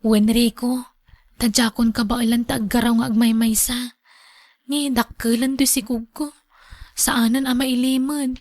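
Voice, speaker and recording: Anxious young woman, female actor, radio drama, Ilocano accent, pleading manner, highish voice, dry recording. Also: level tone, measured pace, pauses often, a little mellow, no echo. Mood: worried.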